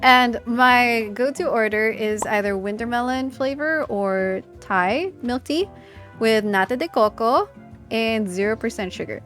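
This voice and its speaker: Young woman from the Philippines, gaming creator; bright higher voice, enthusiastic casual Q&A delivery over faint music. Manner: chatty, enthusiastic, reading questions aloud. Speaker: gaming YouTuber.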